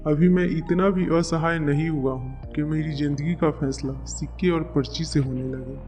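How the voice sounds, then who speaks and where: A man's voice: on the high side, fairly even intonation, normal speed, darkish tone, faint music behind, lightly acted. A man voicing a firm, wounded elderly father in a family cartoon story. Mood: stern, hurt. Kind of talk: Hindi moral-story cartoon dialogue.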